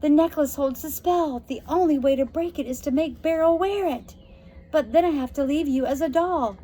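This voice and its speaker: A woman's voice: high-pitched, moderate pace, warm tone, pitched above the performer's own voice, lightly acted. A woman voicing an earnest, selfless young girl in a storybook, insistent and reassuring.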